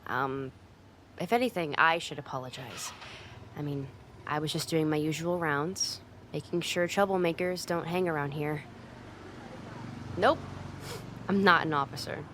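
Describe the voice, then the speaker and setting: A woman's voice: low voice, steady tone, measured pace, pauses often, a little hiss or hum. A woman playing a tough but secretly caring delinquent girl in an ASMR roleplay, talking bluntly and teasingly to the listener. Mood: guarded, casual. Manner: blunt, teasing, streetwise, with hesitant softening.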